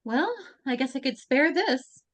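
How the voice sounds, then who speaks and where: A determined teenage girl speaks with small stammers , as a woman plays her. Her voice is high and lively, rising and falling a lot. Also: a little slow, no room sound, exaggerated voice. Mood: earnest. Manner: hesitant, stammering.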